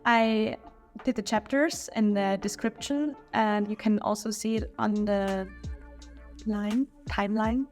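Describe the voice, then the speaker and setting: A woman's voice: medium pitch, steady tone, slow, a little mellow, faint music behind. German-accented woman reviewing history, chatty. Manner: chatty, opinionated, with small slips and restarts. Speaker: history channel host. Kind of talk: casual video review.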